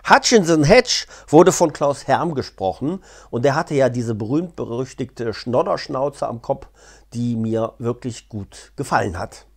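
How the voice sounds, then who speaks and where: A man talks off the cuff to his viewers. His pitch moves around a lot, in a middle register. He is colloquial and chatty, dropping in wry asides. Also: animated, measured pace, gravelly, husky. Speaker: vlogger and audio drama reviewer. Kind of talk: casual unscripted explainer to camera. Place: living room, seated on a sofa indoors. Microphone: clip-on lapel mic.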